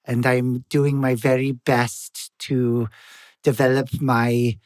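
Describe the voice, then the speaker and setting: A man's voice: medium pitch, flat delivery, slow pace, no echo, studio quality. A man playing in a tabletop game podcast, rambling and giggly. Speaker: player. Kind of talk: casual podcast chat.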